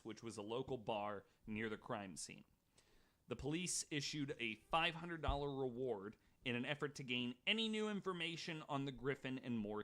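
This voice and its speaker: Man, podcast host, bright forceful voice, mid pitch, reading true-crime notes with casual asides.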